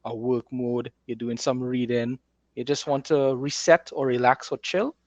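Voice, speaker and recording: A man's voice: medium pitch, fairly even intonation, measured pace, no room sound, muffled recording. A man hosting a casual conversation as an author, explaining things with enthusiasm. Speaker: host, author. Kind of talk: casual conversational talk about writing.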